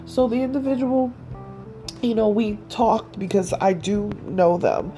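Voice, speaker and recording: A woman's voice: medium pitch, unhurried, mellow, soft background music, rough recording. A woman hosting her own podcast, talking casually and rambling with lots of asides, a little stuffed up. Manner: chatty and rambling, many "you know" asides. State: stuffy nose. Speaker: podcast host. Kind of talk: casual solo podcast talk.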